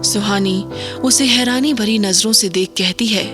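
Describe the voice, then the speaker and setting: Woman narrating a horror audio drama. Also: mid-range voice. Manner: measured, suspense-building storytelling. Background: background music.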